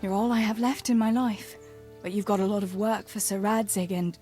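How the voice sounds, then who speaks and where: Soft, husky young medieval sweetheart, acted by a woman. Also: medium pitch, fairly even intonation, moderate pace, soft background music, close to an everyday voice. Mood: tender, worried. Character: tender, teasing young medieval village sweetheart, anxious about loss. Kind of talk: naturalistic video game dialogue acting.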